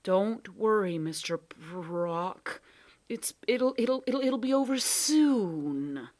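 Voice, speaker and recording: A woman voicing a fussy, flustered male cat creature who stammers and talks in a breathy, fluttery way. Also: mid-range voice, lively intonation, takes it slowly, darkish tone. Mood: fretful.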